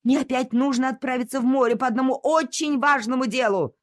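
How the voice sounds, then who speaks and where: A woman's voice: high-pitched, a little fast, no echo, studio quality, voice pushed up, natural voice. A woman voicing a kind, polite male doctor asking earnestly for help.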